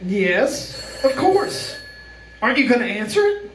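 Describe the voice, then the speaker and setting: A breezy, disbelieving chap played by an actor in an old-time radio play. His delivery is teasing and swings widely in pitch. The room is echoey, with faint noise. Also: sing-song, slow pace, exaggerated voice. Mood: teasing disbelief. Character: Harvey, breezy, disbelieving friend of the heroine. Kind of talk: live old-time radio drama acting.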